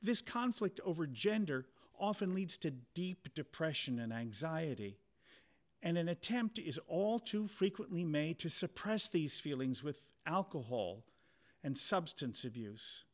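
A man giving an earnest, measured reading of a documentary passage. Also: fairly high pitch, big pitch swings. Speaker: reader. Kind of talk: scripted documentary narration.

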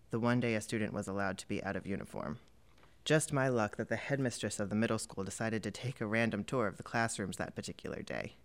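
Smooth, lower-voiced man reading calmly. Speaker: reader. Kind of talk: first-person memoir reading.

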